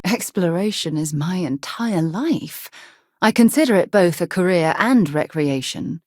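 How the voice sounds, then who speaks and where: A woman's voice: deep voice, darkish tone, no echo, clean studio sound. A woman voicing a composed, articulate and principled space explorer and expedition leader in a video game. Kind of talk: video game companion dialogue.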